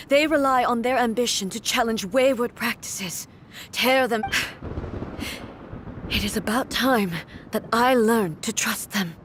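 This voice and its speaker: A woman playing a solemn, stoic female ruler in a video game, speaking in a formal, grave and measured way. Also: on the high side, a bit bright, a little hiss or hum. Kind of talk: dramatic video game voice acting.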